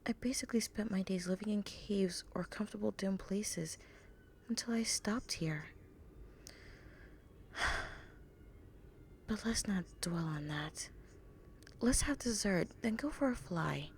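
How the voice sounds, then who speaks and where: A woman voicing a gentle, affectionate young female bat pony with a guilty streak, in a soft, breathy, hesitant voice for a fan fiction story. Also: mid-range voice, measured pace, long pauses, slight background noise, lightly acted. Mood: tender, reflective.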